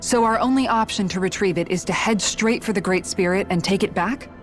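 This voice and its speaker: A serious female adventurer played by an actress questions things directly and practically. Her voice is medium in pitch, in game cutscene style over faint music. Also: a little fast, natural voice. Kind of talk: scripted video game cutscene acting.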